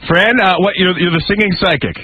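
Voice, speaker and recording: A man doing a halting, informal radio interview as a stand-in host, bluntly cutting in. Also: high voice, background noise. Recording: dull, lo-fi radio recording.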